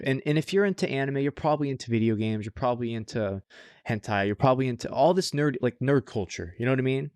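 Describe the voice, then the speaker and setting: A man co-hosts a casual podcast, reviewing shows in an informal, rambling way. His voice sits at an average pitch and the sound is very dry. He talks loosely and drops swear words casually. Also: no room sound. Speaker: anime podcast host.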